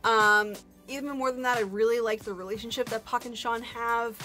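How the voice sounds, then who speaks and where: A woman's voice: a little high, fairly even intonation, normal speed, bright, forceful tone, faint music behind. A woman hosting a book review in a casual, chatty way, with informal, self-deprecating, slangy asides.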